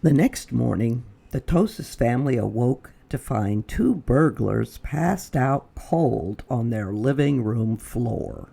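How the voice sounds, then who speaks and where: Woman, storytime reader, slow measured reading, lively swooping pitch, dramatic whispers. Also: creaky, breathy edge. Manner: expressive, dramatic pauses, drops to whispers. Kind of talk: children's book read-aloud.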